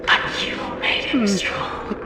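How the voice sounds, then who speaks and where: A man playing a bitter, menacing villain in a video game cutscene, declaiming with deliberate weight. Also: close to an everyday voice. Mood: bitter menace. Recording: distant echoing hall, loud music.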